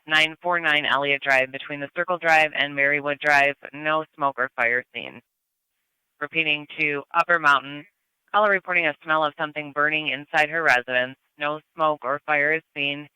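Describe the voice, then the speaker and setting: Crisp, bright male fire radio dispatcher. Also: a little high, dry recording, rough recording. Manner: clipped, procedural, repeats details. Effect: two-way radio transmission. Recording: dry radio scanner feed.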